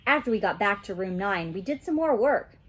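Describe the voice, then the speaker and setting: A woman reading a children's story aloud in an animated, childlike storytelling manner. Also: medium pitch, fairly quick.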